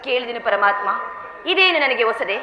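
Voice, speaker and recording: A woman's voice: fairly high pitch, lively intonation, normal speed, close to an everyday voice. A woman playing a proud, gracious goddess consort in formal, theatrical mythological drama. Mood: piqued, teasing. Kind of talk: declamatory mythological stage drama. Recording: dull, echoing recording with music behind.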